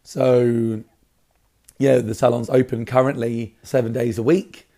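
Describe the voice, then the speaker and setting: A man with a slightly raspy voice, talking casually and keenly as an interview guest about his work. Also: mid-range voice, flat delivery, measured pace, mellow. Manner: enthusiastic. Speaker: hairdresser, salon owner, interview guest.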